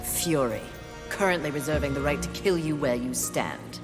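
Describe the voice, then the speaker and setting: A woman's voice: sits low, animated, moderate pace, loud music behind the voice. An actress playing a haughty, scornful female warrior of the apocalypse in a video game cutscene, imperious and dry. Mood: menacing.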